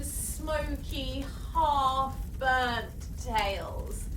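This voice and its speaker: A woman hosting a children's story show, telling tales in an expressive way with drawn-out emphasis. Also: sits high. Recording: roomy, distant mic, faint noise.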